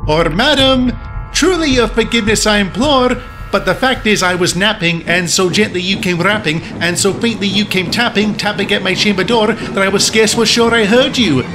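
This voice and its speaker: A man playing an overwrought, frantic haunted gentleman in a melodramatic comic poem performance, exclaiming theatrically. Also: high voice, normal speed, voice pushed up, close to an everyday voice. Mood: nervous pleading. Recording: music under the voice. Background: music.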